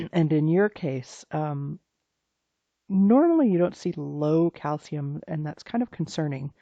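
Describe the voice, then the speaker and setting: A health practitioner, slightly hoarse from allergies, talking through a client's blood test results in a halting, informal way with many restarts. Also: mid-range voice, expressive, dark tone, no room sound, lo-fi sound. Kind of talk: private recorded walkthrough of lab results.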